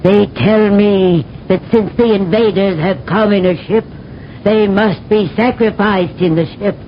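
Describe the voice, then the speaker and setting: Actress as an imperious alien empress, regal, slow and deliberate, dull old radio sound. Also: female voice, mid-range voice, a little hiss or hum, lightly acted. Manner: regal, commanding, theatrical pronouncements. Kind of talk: 1970s radio drama acting. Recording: slightly dull vintage radio broadcast.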